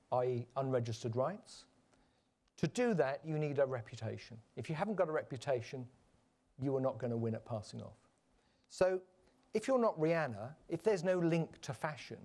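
A man giving a measured, explanatory conference presentation, with frequent fillers and restarts. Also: mid-range voice, mellow. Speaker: conference panel speaker. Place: conference stage.

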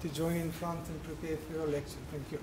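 High-voiced man giving a formal introduction. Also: flat delivery, background noise. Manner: warm, halting with uh and um. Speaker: dean introducing the speaker. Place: large lecture hall. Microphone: podium microphone.

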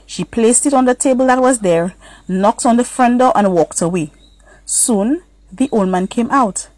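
A woman's voice: a little high, moderate pace, a little mellow, no room sound, rough recording. A woman narrating a children's story as a scripted read-aloud.

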